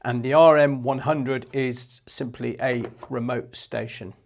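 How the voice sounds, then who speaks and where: Guy in his 50s showing off gear, bit of fry in the voice, matter of fact, mid pitch. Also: fairly even intonation, measured pace. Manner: plain, matter-of-fact explaining. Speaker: product presenter for a marine equipment shop. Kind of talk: unscripted product demonstration. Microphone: clip-on lapel mic.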